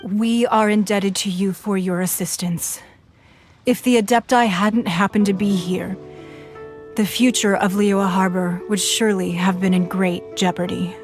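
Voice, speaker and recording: A woman playing a poised, diplomatic leader and businesswoman in a video game, measured and formal. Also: medium pitch, steady tone, natural voice. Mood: grateful, formal. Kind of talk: video game character dialogue. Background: game music.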